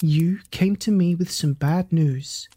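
A gentle, wise mother otter from a storybook, as the narrator puts her on, speaking slowly and soothingly. The voice is soft and breathy, with a close, dry sound. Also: mid-range voice, no room sound. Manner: calm, soothing. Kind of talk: bedtime story dialogue.

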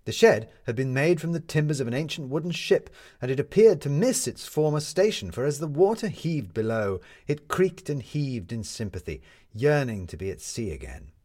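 A man narrating a scripted story as an expressive storyteller. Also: mid-range voice, moderate pace.

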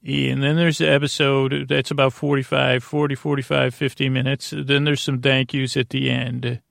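Meandering man with a gravelly, creaky voice. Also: medium pitch, flat delivery, a bit bright, no echo, studio quality. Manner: meandering, halting, self-interrupting with tangents. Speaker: podcast host. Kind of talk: rambling bedtime monologue to help listeners sleep.

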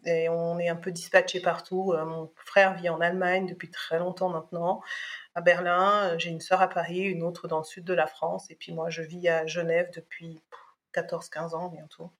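A woman's voice: fairly low pitch, little pitch movement, normal speed. A middle-aged woman from Cameroon, a podcast guest giving a personal testimony, thoughtful and hesitant with many restarts.

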